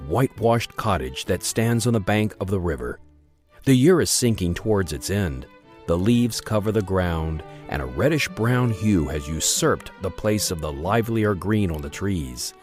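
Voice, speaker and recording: A man narrating a period radio drama in a florid, expressive storytelling manner. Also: fairly low pitch.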